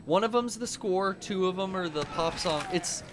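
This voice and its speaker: A man's voice: high-pitched, moderate pace, noisy background. An American man, middle-aged, co-hosting a livestream in casual, informal chat with some swearing. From United States. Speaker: livestream co-host. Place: small cluttered room indoors. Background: music.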